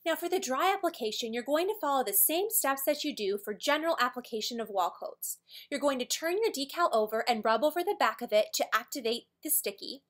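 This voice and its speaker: A woman presenting a scripted how-to tutorial, explaining each step in a clear, orderly way. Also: fairly high pitch, fairly bright tone. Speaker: tutorial presenter for a decor company. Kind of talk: scripted instructional narration.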